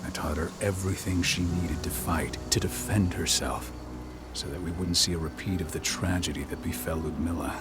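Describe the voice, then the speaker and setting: An actor playing a stern, proud veteran werewolf pack leader in a video game, speaking in a measured, firm manner. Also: male voice, sits low, steady tone, over loud music, natural voice. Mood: proud, reassuring. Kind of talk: video game cutscene acting.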